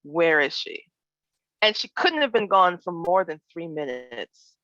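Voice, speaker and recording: A woman guest preacher giving an expressive, reflective sermon that mixes personal storytelling with emphasized phrases and questions to her listeners. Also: lowish voice, unhurried, no echo. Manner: expressive, acts out imagined speech and emphasizes key words. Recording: dull, lo-fi online worship audio.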